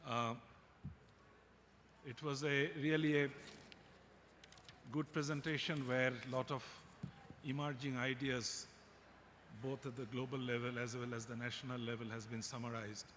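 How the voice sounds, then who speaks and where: A man's voice: medium pitch, little pitch movement, measured pace, pauses often, fairly bright tone. A man making formal remarks as the chair of a conference session. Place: large conference hall. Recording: echoey hall, clear background noise.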